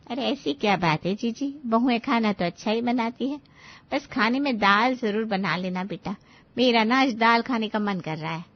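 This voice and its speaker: Woman playing kindly older auntie, gentle, reassuring, high voice, cartoon moral story, slightly muffled audio. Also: lively intonation, moderate pace. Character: kind older aunt-in-law, gently advising her nephews' wives. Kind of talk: animated moral-story dialogue.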